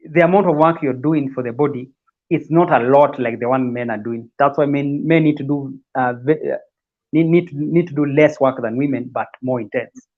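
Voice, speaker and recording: A man from Kenya explains training as a livestream guest. His voice sits in an ordinary middle pitch and comes through somewhat dull and lo-fi. He talks casually and often repeats words as he works his way through a point. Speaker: fitness guest.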